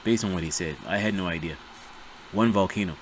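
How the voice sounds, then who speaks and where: A man's voice: deep voice, fairly quick, slight background noise. A man hosting a reaction video, talking in a chatty, rambling, self-deprecating way. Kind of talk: casual rambling commentary.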